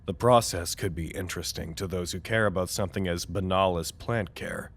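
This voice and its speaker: Tired anxious boss guy, lowish voice, wordy official log, dry and exasperated, audio drama. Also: fairly quick. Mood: dry, resigned. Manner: wordy, formal, sighing, dryly exasperated. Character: weary, anxious, formal male site director dictating reports. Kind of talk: audio drama acting, dictated log monologue.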